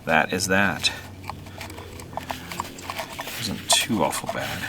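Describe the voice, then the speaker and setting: A man's voice: low-pitched, extremely slow, noisy background. A man hosting a DIY video, narrating hands-on work casually and informally, sometimes muttering to himself as he goes.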